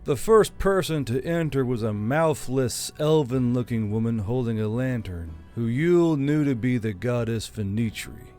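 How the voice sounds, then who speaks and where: Male fantasy audiobook narrator over soft music. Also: mid-range voice, animated, a little slow, hardly any pauses. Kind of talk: scripted fantasy novel narration. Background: background music.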